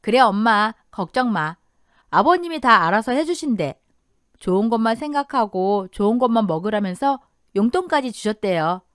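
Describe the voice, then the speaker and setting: A woman's voice: fairly high pitch, animated, moderate pace, clear, resonant tone, no echo, clean studio sound, close to an everyday voice. A woman voicing a plucky adult daughter, pert and defiantly polite, in a radio story. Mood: excited.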